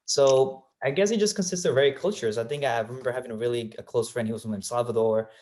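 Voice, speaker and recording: A man's voice: mid-range voice, quick pace, a little mellow. A young man from Colombia answering interview questions in a thoughtful way, with many fillers and restarts. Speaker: guest, real estate agent.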